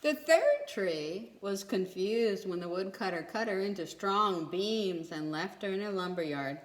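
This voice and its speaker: A woman's voice: medium pitch, expressive. A woman reading a children's story aloud expressively, as a storyteller who checks in with young listeners. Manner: pauses to ask young listeners questions. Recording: echoey room.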